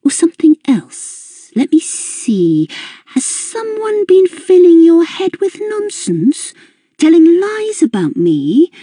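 A woman's voice: extremely high pitch, huge pitch swings, slow pace, mellow, dry recording, pitched above the performer's own voice, exaggerated voice. A woman voicing a manipulative middle-aged mother, condescending and mocking in a lilting, sweetly cruel tone. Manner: mocking, condescending, sing-song.

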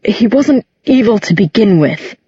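Weary, apologetic man voiced by a female narrator, measured and gentle, husky edge, dry audiobook recording. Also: mid-range voice, no room sound, muffled recording. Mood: quiet, apologetic. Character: weary, regretful grown man, gently explaining hard truths.

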